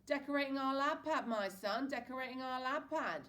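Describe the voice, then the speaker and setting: A woman voicing a brash, upbeat father who turns gruff when pushed. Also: high-pitched, normal speed, a bit bright, pitched above the performer's own voice. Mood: jolly.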